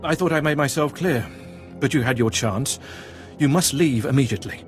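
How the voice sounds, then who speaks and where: Game cult gatekeeper, male actor, mid-pitched, solemn and devout, faint music underneath. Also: normal speed, lightly acted. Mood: guarded. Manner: earnest, declamatory. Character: devout cult gatekeeper, guarded, reverent zealot. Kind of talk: video game cutscene acting.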